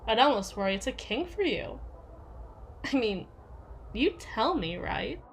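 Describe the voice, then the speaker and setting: Woman playing a high-voiced, domineering jealous yandere. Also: very animated, unhurried, a little hiss or hum. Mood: mocking, sly. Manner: sarcastic, taunting, theatrical. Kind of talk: ASMR roleplay audio drama.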